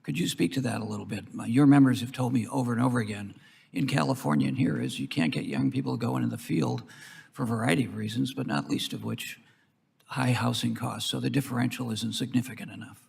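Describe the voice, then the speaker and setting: A very raspy, creaky-voiced American man questions witnesses as a congressman. He speaks in halting, looping phrases. The sound rings with the long reverb of a hearing room. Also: mid-range voice, lively intonation, darkish tone. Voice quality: husky, gravelly. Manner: halting, circling, with broken-off phrases. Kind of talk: rambling committee questioning. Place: large indoor committee hearing room.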